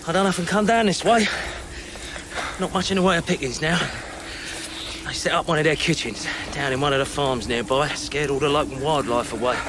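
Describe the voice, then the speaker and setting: A man's voice: a little high, brisk, noisy background, close to an everyday voice. Man acting a sly, menacing scavenger. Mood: sardonic cheer. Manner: sardonic, clipped short phrases. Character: sly, menacing male scavenger, falsely friendly. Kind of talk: radio drama acting.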